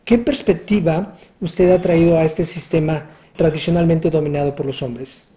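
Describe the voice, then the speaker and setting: A mellow, relaxed interviewer with a mid-pitched voice, asking long questions at a measured pace and hesitating with fillers along the way.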